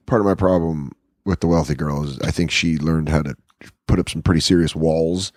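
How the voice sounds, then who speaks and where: A man's voice: very deep voice, steady tone, brisk, a little mellow, dry recording, studio quality. A man in his thirties, a comedian guest, telling candid, profane stories in casual podcast chat from an apartment. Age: 30s. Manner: candid, profane storytelling with acted-out quotes.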